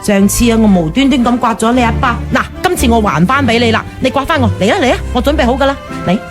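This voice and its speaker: A woman's voice: on the high side, fast, lightly acted. Woman playing a chatty, pushy sister-in-law, music underneath. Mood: effusive, frank. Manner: voluble, emphatic, gossipy. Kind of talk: radio drama acting.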